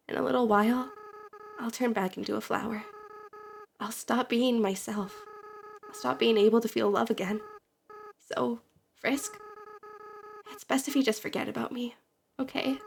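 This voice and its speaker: A woman voicing a remorseful young goat monster boy who speaks softly and pleads, close to tears. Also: a little high, vocal fry, a little hiss or hum. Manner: soft, pleading, near tears. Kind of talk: fan voice-over of a game.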